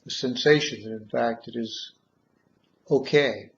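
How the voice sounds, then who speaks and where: A man guiding a meditation in measured short phrases with long pauses, on a dull, muffled recording. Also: mid-range voice, creaky, fairly bright tone. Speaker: guided meditation leader. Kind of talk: guided meditation, slow soothing instruction.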